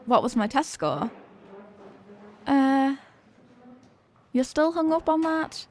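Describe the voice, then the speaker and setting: A woman's voice: sits high, very animated, slow, darkish tone, faint music behind, heavily acted. A woman playing an evasive, flustered popular cheerleader being tutored, in an acted ASMR roleplay with a hesitant, defensive delivery. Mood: nervous, evasive. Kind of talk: ASMR roleplay, acted one-sided conversation.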